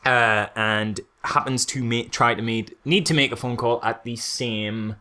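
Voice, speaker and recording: A man's voice: medium pitch, steady tone, measured pace, bright, forceful tone. A man chatting casually and comedically as a podcast co-host about films, giggly and often mimicking lines in mock quotes. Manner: giggly, mock-quoting characters as he talks. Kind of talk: casual comedic film critique chat.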